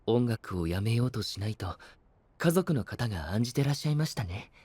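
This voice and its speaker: A man playing a polite, composed, considerate young gentleman in a Japanese anime audio drama, speaking formally. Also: on the low side, expressive, a little fast, husky, dry recording. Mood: gently concerned. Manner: formal, courteous.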